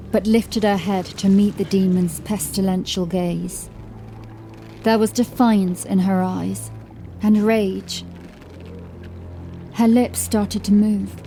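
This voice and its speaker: A woman narrator reading scripted horror fiction in a measured, deliberate, dramatic storytelling style. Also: mid-range voice, mellow. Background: music.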